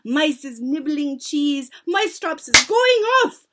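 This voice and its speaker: A woman playing a gloating, high-pitched old witch ringleader. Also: animated, takes it slowly, heavy background noise. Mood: triumphant, shouting. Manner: commanding, triumphant, foreign-style broken grammar.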